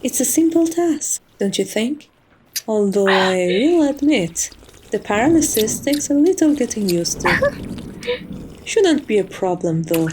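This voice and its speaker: A woman playing a polite, devoted maid to a vampire mistress in an ASMR roleplay, formal and composed with quiet menace. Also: a little high, sing-song, measured pace, noisy background. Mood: calmly scolding.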